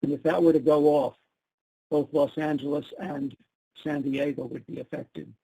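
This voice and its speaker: A man's voice: fairly high pitch, fairly even intonation, normal speed, gravelly, dark tone, breathy edge, low-quality recording. A man with a Brooklyn accent presenting slides at a public meeting, explaining each picture step by step. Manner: explains slides step by step, asks for plain terms.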